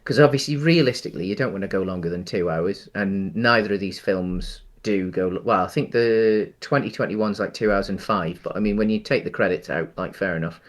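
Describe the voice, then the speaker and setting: A man co-hosting a casual film discussion, speaking in a halting, hesitant way with frequent ums. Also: sits low. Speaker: podcast co-host.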